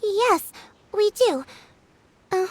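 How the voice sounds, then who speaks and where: An actress playing a small, sweet, eager young girl in a video game, childlike and lively in manner. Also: very high-pitched, extremely slow, slight background noise, exaggerated voice. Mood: shy, polite. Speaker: game character. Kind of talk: voiced game dialogue.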